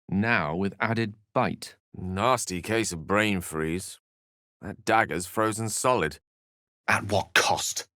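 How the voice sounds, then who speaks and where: An actor playing a bookish, witty and earnest human wizard in a video game, with erudite, theatrical phrasing and a touch of melancholy. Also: male voice, sits low, a bit bright, dry recording, clean studio sound, heavily acted. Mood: wry. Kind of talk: video game character voice lines.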